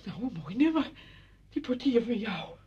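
A woman's voice: fairly high pitch, very animated, normal speed, dark tone, slightly rough, full character voice. An actress playing an effusive, chatty woman visitor who is out of breath in a radio drama. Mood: breathless, indignant. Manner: effusive, exclaiming. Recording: muffled, dull old radio play recording.

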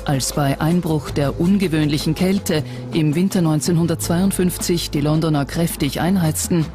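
Documentary narrator, medium pitch, scripted delivery, music bed underneath. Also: fairly even intonation, normal speed. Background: background music.

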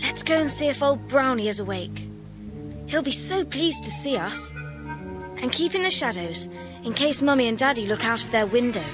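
A woman's voice: high voice, lively intonation, normal speed, over music. A woman playing an eager, adventurous young British schoolgirl in a children's audio drama. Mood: hushed excitement.

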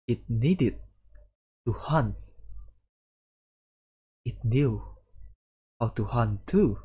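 A man streaming a visual novel playthrough, reading the text aloud with dramatic pauses and his own reactions. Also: medium pitch, animated, warm tone, husky, dull audio.

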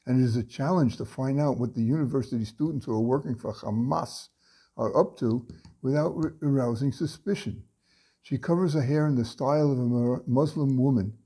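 An older man reading a story aloud from his home study into a webcam, unhurried, with frequent pauses and restarts. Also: mid-range voice, mellow, husky. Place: home study lined with bookshelves.

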